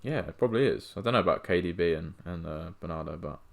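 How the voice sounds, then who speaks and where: A young man co-hosting a casual football chat, laid-back, mostly giving quick agreeing replies. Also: deep voice, gravelly. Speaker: podcast co-host.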